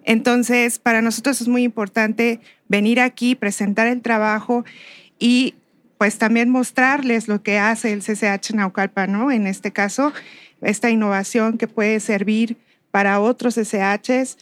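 A woman's voice: a little high, fairly even intonation, measured pace, no echo. A woman with a central Mexican accent, a teacher explaining her work conversationally in an interview. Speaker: history teacher, interview guest.

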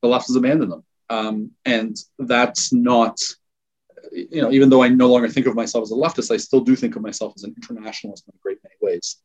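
A man from Iran talking as an interview guest, thoughtful and measured, often restarting and qualifying his words. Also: medium pitch, steady tone, darkish tone, husky, muffled recording. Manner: thoughtful, with frequent restarts and hedges. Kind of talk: conversational interview talk.

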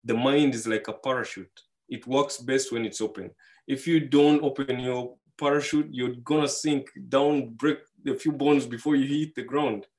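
A man giving money advice in a relaxed, rambling way, with fillers and restarts, lifting his voice for key points. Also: medium pitch, moderate pace. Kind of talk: casual advice talk.

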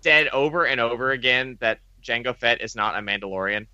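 Brief: animated male co-host with a bright voice, dry close sound. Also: mid-range voice, fairly quick, clean tone, no room sound, dull audio. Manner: animated, exclaims in outrage. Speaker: commentary co-host. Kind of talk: casual group movie commentary.

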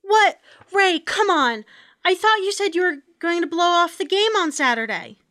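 A woman playing an exasperated, sharp-tongued wife in a comic sitcom table read. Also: extremely high pitch, lively intonation, moderate pace.

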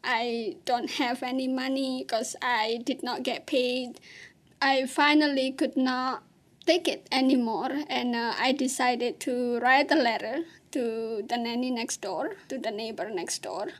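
A woman from Indonesia with an Indonesian accent, giving personal testimony in a plain, halting way with many fillers. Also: a little high, steady tone. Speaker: interviewee.

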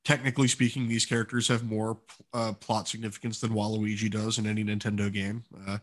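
A man's voice: fairly low pitch, little pitch movement, fairly quick. A man co-hosting a gaming podcast, chatting casually and informally with enthusiastic, opinionated remarks. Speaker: podcast co-host.

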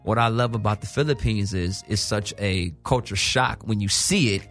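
A man's voice: on the low side, normal speed, a bit bright, faint music behind. A man chatting casually as a radio interview guest, emphatic and slangy, repeating himself and checking in with the listener. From South Central Los Angeles, California. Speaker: guest, music artist. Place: radio show studio.

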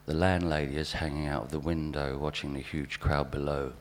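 Very deep-voiced man reading live. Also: flat delivery, brisk. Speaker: spoken-word performer. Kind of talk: live reading of observational anecdotes. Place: live literary event venue. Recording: live event recording with background hum.

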